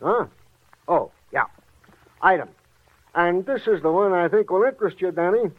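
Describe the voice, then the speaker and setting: An actor playing an eager, chatty, fussy police sidekick in a theatrical 1940s radio drama style. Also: male voice, high-pitched, lively intonation, normal speed, narrow, tinny audio. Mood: eager reporting. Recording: band-limited vintage radio recording.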